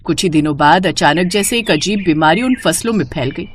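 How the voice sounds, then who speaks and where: A woman narrating a scripted cartoon moral story in an expressive storytelling manner. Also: on the low side, fairly quick, noisy background.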